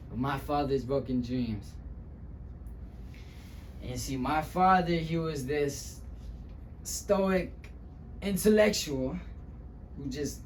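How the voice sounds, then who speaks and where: Over a noisy room recording comes a wounded young Mexican-American man, played by a man in a dramatic stage monologue. His voice sits a little high, and he speaks very slowly, with heavy pauses as he looks back on a hard childhood. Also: a little mellow. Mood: pained, bitter. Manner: dramatic, halting delivery with long pauses. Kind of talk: dramatic interpretation monologue, speech-competition acting.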